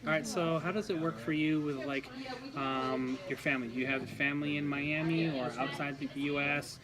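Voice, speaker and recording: A man's voice: fairly high pitch, steady tone, fairly quick, crowd noise. A man hosting a golf podcast, asking rambling, casual questions with many fillers. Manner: chatty, filler-heavy questions. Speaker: podcast host, interviewer. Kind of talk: casual interview.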